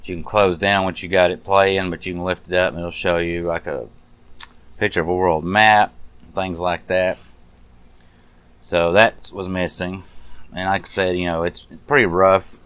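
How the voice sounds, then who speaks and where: A man's voice: deep voice, little pitch movement, moderate pace, a bit bright, clean tone, no echo. A man giving a casual, unscripted hands-on show-and-tell as a hobbyist restorer, talking in a folksy, rambling way. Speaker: hobbyist radio restorer showing a project.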